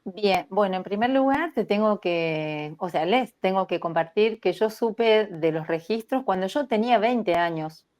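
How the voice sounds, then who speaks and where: A woman, telling her story as a podcast guest in a reflective, measured way that livens up when she quotes herself. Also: mid-range voice, lively intonation, a little mellow. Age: 60s. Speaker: spiritual therapist guest.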